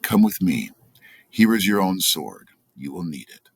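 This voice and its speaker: A gentle young storybook princess, voiced by a man with a very deep, creaky voice. The delivery is hushed and guiding. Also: sing-song, unhurried, full character voice. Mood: urging.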